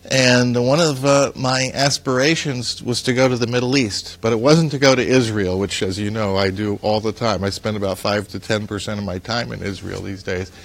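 A man's voice: on the low side, steady tone, normal speed, hardly any pauses. An American man in his sixties, telling stories conversationally as an interview guest. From New Jersey, USA. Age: 60s. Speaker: talk show guest, news editor.

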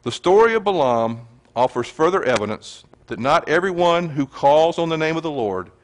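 A man's voice: fairly high pitch, lively intonation, moderate pace. Man, earnest, sermon, teaching, scripture reading. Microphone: handheld or stand mic.

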